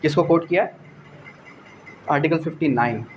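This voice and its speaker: Higher-pitched Pakistani man lecturing. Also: moderate pace. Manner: didactic, repeats phrases for emphasis; mixes English legal terms into speech. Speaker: law lecturer. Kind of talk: explanatory lecture with rhetorical questions. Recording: dull lo-fi audio, faint background noise.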